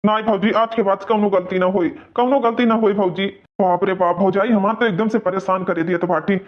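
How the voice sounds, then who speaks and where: Guy doing a high whiny cartoon village farmer, awadhi accent, always grumbling and arguing, kinda muffled recording. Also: fairly even intonation, a little fast, natural voice. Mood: pleading. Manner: whining, grumbling, argumentative. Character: aggrieved, complaining young village farmer, a brother-in-law. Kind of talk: Awadhi cartoon comedy dialogue. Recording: dull, lo-fi cartoon audio.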